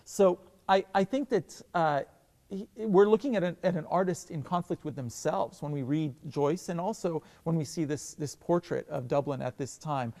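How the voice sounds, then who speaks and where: A man with a somewhat high voice talks about his theatre work in a thoughtful, enthusiastic way. He hesitates and restarts often. The sound rings with a long reverb. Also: moderate pace, mellow. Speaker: theatre director and professor. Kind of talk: relaxed interview answers.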